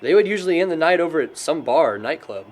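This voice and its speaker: A man's voice: a little high, animated, fast talker, close to an everyday voice. A young American man acting a sombre friend telling stories in conversational film dialogue. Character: sombre young friend recounting a classmate's tragic downfall.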